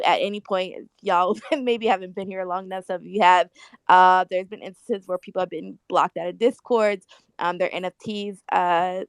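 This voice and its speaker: Clear-voiced, blunt-talking woman. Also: on the low side, dry recording. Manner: blunt, slangy, emphatic. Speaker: panelist in an online music discussion. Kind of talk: casual frank talk.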